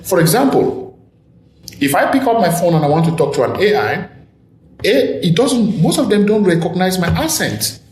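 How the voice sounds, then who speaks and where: A man's voice: high voice, sing-song, normal speed, darkish tone, echoey room, slight background noise, rough recording. A man from Africa, whose first language is an African one, hosting a casual discussion and making his points with emphasis and rhetorical questions. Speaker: podcast host.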